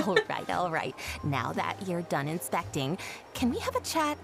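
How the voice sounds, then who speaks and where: Composed young shopkeeper lady for a game, lively pitch, music behind. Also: unhurried, vocal fry, full character voice. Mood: composed, friendly. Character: poised young female fashion designer and shopkeeper. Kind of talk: video game voice acting.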